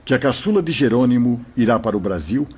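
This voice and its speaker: An actor playing a wise spirit mentor who recounts events to listeners in a solemn, explanatory manner in an audio drama. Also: male voice, medium pitch, animated, quick pace, background noise, close to an everyday voice. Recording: muffled lo-fi audio.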